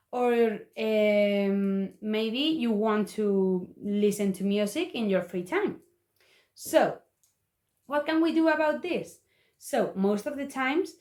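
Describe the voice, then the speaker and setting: A young woman with a Spanish accent gives an earnest, prepared talk in an echoey room. Her voice sits fairly high. She speaks slowly and haltingly, as a learner of English with Spanish as her first language. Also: a little mellow.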